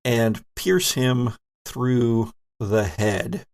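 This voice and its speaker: A man acts as game master for a tabletop role-playing game. His voice sits at a medium pitch, and he narrates vividly, moving between scene-setting and rules. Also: animated, very slow.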